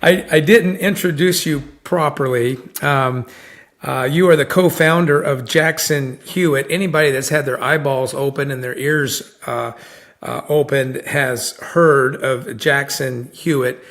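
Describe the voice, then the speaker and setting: A man's voice: mid-range voice, steady tone, unhurried, fairly bright tone. A man hosting a podcast interview, chatting casually and rambling with lots of fillers and restarts. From United States. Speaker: podcast host.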